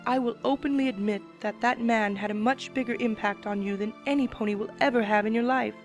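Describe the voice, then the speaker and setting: A woman voicing a solemn female pony princess who speaks in a grave, measured, tearful way, in a dramatic reading. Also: fairly high pitch, fairly even intonation, faint music behind, close to an everyday voice. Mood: sorrowful.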